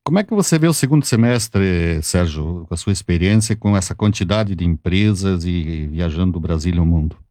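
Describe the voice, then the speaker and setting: A man's voice: deep voice, expressive, brisk, few pauses, dark tone, no room sound, studio quality. A man hosting a radio interview, asking brief conversational questions. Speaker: radio host.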